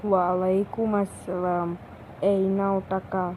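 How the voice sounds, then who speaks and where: A woman voicing a caring, measured father giving instruction in a children's cartoon. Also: medium pitch, little pitch movement, darkish tone, voice pushed down, natural voice. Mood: gently instructive. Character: caring father advising his young son. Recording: dull muffled sound, faint noise.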